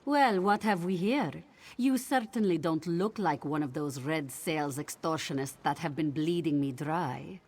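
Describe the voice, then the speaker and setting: A woman's voice: deep voice, unhurried, darkish tone, slightly rough. A woman voicing a defiant, scheming ship captain in a video game, with a sardonic, colourful manner. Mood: indignant, sardonic. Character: wry, scheming female ship captain, hard-drinking and defiant. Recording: noisy ambient background.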